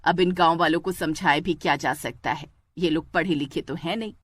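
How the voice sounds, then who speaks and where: A woman's voice: deep voice, fairly even intonation, normal speed, slight background noise, close to an everyday voice. A woman voicing a widowed village mother-in-law, insistent and caring, with an emphatic motherly address. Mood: dismissive.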